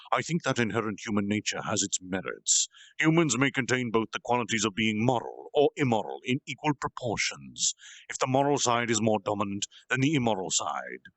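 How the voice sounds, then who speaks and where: A man voicing a gentle, earnest slime monster leader who was once human, speaking in a solemn, halting confessional way for a storytime reading. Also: on the low side, dry recording.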